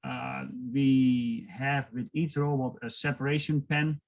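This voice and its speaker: A man with a Dutch accent talks about his farm over a video call. He speaks slowly and hesitates a lot, with many fillers. He has an even, mid-pitched voice on a computer mic. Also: poor audio. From Netherlands. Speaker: dairy farmer.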